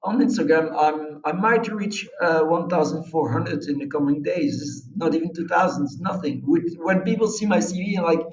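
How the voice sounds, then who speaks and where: A man's voice: fairly high pitch, moderate pace, few pauses. A man from Belgium with a Belgian accent, speaking English as a second language as an adventurer being interviewed. He talks conversationally and emphatically about his own experiences. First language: not English. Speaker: interview guest, expedition adventurer. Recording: roomy, distant, dull microphone sound.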